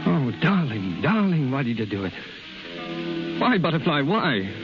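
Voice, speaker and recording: An actor playing an anguished husband in a 1930s radio melodrama, impassioned and pleading. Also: male voice, fairly high pitch, very animated, measured pace. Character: anguished husband returning to his dying love. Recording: muffled 1930s radio recording, music behind.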